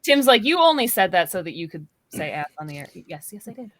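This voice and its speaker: A woman's voice: mid-range voice, sing-song, quick pace, noisy background. A woman talking casually as a panelist in a podcast discussion.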